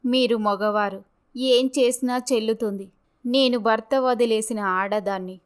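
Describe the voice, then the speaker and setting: A woman's voice: fairly high pitch, steady tone, unhurried, clear, resonant tone, close to an everyday voice. A woman playing a dignified, earnest young mother abandoned by her husband, polite in manner, in a dramatised story. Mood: despondent.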